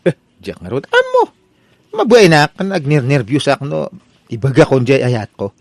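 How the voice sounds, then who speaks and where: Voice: mid-range voice, wildly swooping pitch, moderate pace, dry recording. A performer with an Ilocano accent playing a whiny, hapless, lovestruck younger son, stammering and pleading in a comedy radio drama. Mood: nervous, sheepish.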